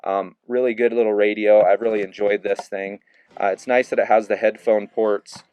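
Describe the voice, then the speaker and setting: A man giving a relaxed, casual hands-on review of a handheld radio, narrating each step with plenty of fillers as he works the controls. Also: fairly low pitch, moderate pace, smooth, dry recording. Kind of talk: casual hands-on product demo.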